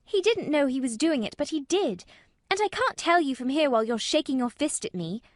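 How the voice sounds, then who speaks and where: A woman plays a plucky little girl in a storybook reading. The voice is high and animated, with an obstinate streak. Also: normal speed, dry recording, clean studio sound. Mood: protesting. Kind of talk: audiobook character voice.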